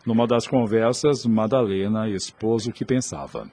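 Male narrator, lowish pitch, scripted formal storytelling, radio drama. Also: moderate pace, mellow.

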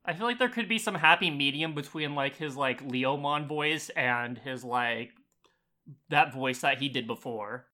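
A man's voice: mid-range voice, measured pace, sharp tone. A man co-hosting a podcast in casual chat, somewhat tentative and given to joking. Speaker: podcast co-host.

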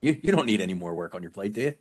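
A man's voice: lowish voice, big pitch swings, rapid-fire, darkish tone. A friendly, joking man hosting a casual group business call and asking questions.

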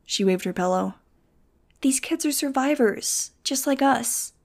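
A woman's voice: lively intonation, a little slow, vocal fry, exaggerated voice. A woman voicing a sensitive, weary young winged mutant girl who talks in a pleading, emotional way. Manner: pleading, emotional, higher-pitched.

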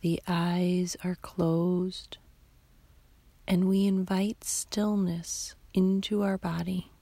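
Very slow, breathy woman guiding sleep meditation. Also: lowish voice, little pitch movement, no room sound. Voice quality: soft, breathy, half-whispered. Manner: slow, soothing, long pauses between short phrases. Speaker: meditation guide.

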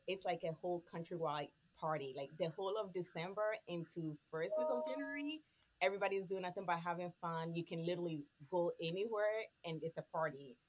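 A woman from Ghana, a podcast co-host, sharing holiday memories in casual chat with frequent laughs. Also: on the low side.

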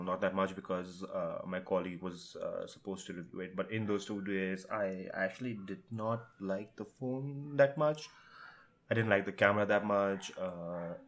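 A man talking casually as a tech podcast host. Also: low voice.

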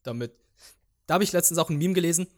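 A man's voice: on the high side, quick pace. Man reminiscing casually, native Vietnamese speaker. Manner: meandering, hesitant recollection. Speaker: podcast co-host. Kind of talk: casual chat.

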